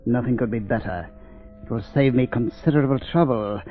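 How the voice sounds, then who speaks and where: A man's voice: medium pitch, a little fast, natural voice. A man playing a coolly menacing villain doctor in a theatrical 1930s radio mystery style, suave and commanding. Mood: commanding, dismissive. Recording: muffled 1930s radio recording.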